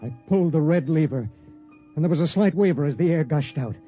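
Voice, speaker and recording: An actor playing a lonely, guilt-ridden spaceship pilot, brooding and terse, in a 1950s radio drama. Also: male voice, on the high side, lively intonation, brisk, faint music behind. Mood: brooding, grave. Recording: muffled vintage radio broadcast.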